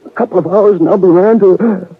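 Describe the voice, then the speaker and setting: An actor playing a dying young cowboy who stays stubbornly hopeful, in 1950s radio drama style, with a raspy, strained voice on a tinny old radio broadcast. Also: male voice, high-pitched, brisk, no echo. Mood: weak, stubborn.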